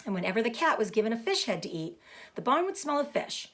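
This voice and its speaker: A woman reading a children's book aloud as a storyteller, with deliberate phrasing meant for young listeners. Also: a little high, sing-song, fairly bright tone. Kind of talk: expressive children's book narration.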